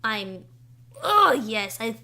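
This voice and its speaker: A young woman co-hosting a casual podcast chat, excitable and gushing about things she loves. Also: fairly high pitch. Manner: excitable and gushing, quick to say she will cry. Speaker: podcast co-host.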